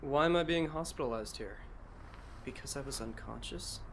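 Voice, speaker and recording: A man's voice: mid-range voice, normal speed, a bit bright, slight background noise, natural voice. A man in an anime fan dub playing a weary, puzzled teenage schoolboy with a dry, terse, sardonic delivery. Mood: confused.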